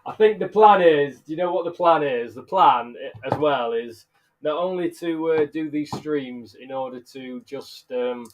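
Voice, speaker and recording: A man's voice: a little high, unhurried, lo-fi sound. A man in his thirties with a blocked-up, nasal voice from illness, rambling casually to livestream viewers and laughing often. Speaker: live streamer and bug keeper. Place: bug room, indoors.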